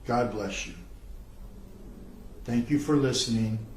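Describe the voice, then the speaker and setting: A man reads out a formal statement in a mid-range voice. His delivery is solemn and measured, with slow, deliberate pacing. The room around him echoes clearly. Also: mid-range voice, a little hiss or hum. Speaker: self-described whistleblower. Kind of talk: scripted video statement.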